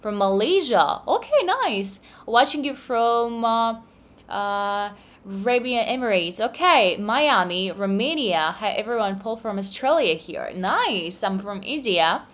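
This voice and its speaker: A woman's voice: mid-range voice, lively intonation, unhurried, fairly bright tone. Chatty woman hosting a livestream. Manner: cheerful, reads comments aloud, non-native English phrasing. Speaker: trading livestream host. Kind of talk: casual livestream chat reading viewer comments.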